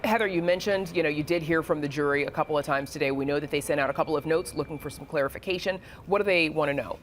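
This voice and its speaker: A news anchor with a very brisk delivery and a mid-range voice hosts a panel discussion. The style is broadcast and conversational, moving from scripted introductions to questions, with faint noise behind. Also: medium pitch.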